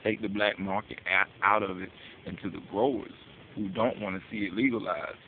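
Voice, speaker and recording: A man's voice: lowish voice, steady tone, normal speed. An American man giving an informal advocacy monologue as a public address, talking in street slang with casual swearing and rhetorical questions. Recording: tinny phone-like audio with hiss.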